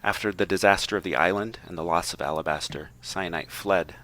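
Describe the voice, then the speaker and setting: A man's voice: low voice, brisk, fairly bright tone. A man on a podcast reading a prepared book summary aloud, with a wry, tongue-in-cheek delivery and joking asides.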